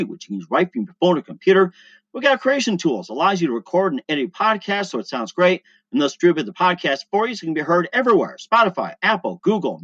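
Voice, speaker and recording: A man hosting a podcast, his voice sitting a little high for a man. He reads plugs and introductions in a halting way, stumbling through ums and restarts. The sound is dry and dull, like a lo-fi home recording. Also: a bit bright, dry recording. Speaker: podcast host. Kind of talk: casual interview and self-read sponsor spots.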